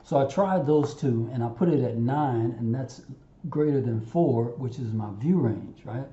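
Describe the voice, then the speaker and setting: A man giving an unscripted software tutorial over a screen recording, haltingly thinking aloud and talking to himself as he works. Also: mid-range voice. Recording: roomy, muffled, audible background noise.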